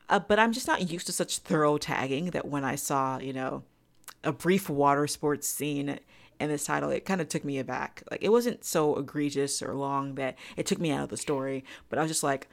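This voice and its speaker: Low creaky woman on a podcast, casual review chat, blunt and sweary, really into it. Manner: frank, profane, enthusiastic.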